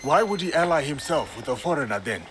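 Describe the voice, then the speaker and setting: A man playing a formal, resolute foreign-born samurai in a video game cutscene, speaking in a measured, grave way. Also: on the high side, big pitch swings, fairly bright tone, slight background noise. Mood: probing.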